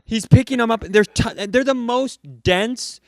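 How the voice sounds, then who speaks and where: Man putting on a high-pitched prissy scolding woman. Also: unhurried, darkish tone, no room sound, studio quality. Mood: indignant. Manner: fussy, insistent, complaining. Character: prissy, indignant adult woman scolding at a pumpkin patch.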